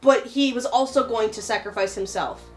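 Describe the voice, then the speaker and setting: High-voiced woman chatting, music behind. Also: moderate pace. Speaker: podcast co-host. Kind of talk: casual fan discussion.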